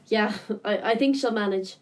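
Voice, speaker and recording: A woman's voice: mid-range voice, darkish tone, slight background noise, natural voice. A woman playing a wry, nosy character in casual, naturalistic radio drama dialogue. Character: wry, nosy woman sorting a relative's belongings. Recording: distant from the mic.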